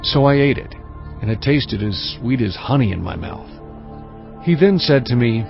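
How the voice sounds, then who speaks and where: An actor playing a solemn male prophet who recounts his visions in earnest, measured first-person scripture narration. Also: medium pitch, animated, vocal fry, muffled recording, faint music behind.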